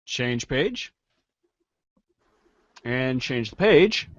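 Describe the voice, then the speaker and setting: A man playing a loud, theatrical announcer who calls out page turns emphatically in a comic reading, on a slightly dull recording. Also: medium pitch, very animated, drawn-out words. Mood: theatrical, emphatic. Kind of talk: shouted interjections in a comic reading.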